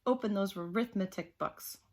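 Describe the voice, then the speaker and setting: A woman's voice: medium pitch, a little mellow, close to an everyday voice. Woman acting a hissing, witchy, strict teacher. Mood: stern, snapping. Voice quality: hissing, unpleasant. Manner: slow, snapping, commanding. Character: mean, strict, witchy female substitute teacher.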